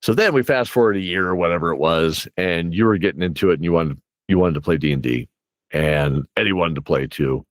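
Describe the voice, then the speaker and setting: A man with a low voice, recorded close and dry, tells stories as a game master in a casual, rambling chat. He keeps slipping into quoted reactions from his players as he goes. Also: a little fast, no room sound. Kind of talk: casual animated storytelling chat.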